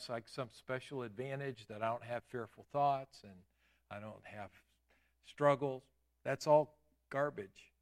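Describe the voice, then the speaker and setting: A man in his late fifties preaching a sermon in a church, with emphatic declarations and quieter asides. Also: mid-range voice. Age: 50s. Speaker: pastor. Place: church sanctuary.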